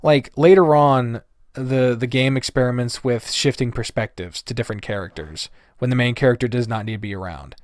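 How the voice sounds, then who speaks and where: A man's voice: mid-range voice, brisk, no echo, clean studio sound. A man delivering a casual, blunt, exasperated rant full of swearing, as a reviewer giving his opinions. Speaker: reviewer of visual novels.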